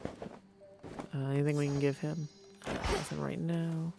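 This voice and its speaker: A man's voice: a little high, flat delivery, a little slow, gravelly. Male game streamer: informal, conversational, reading and answering chat, light music underneath. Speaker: streamer practicing voice acting. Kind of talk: casual chat with stream viewers.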